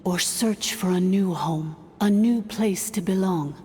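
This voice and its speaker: A woman playing a calm, imperious ancient dragon-born artificial intelligence in a video game, speaking formally and with measured stateliness. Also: medium pitch, husky. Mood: solemn. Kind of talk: scripted game dialogue, solemn exposition. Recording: long hall-like reverb, music underneath.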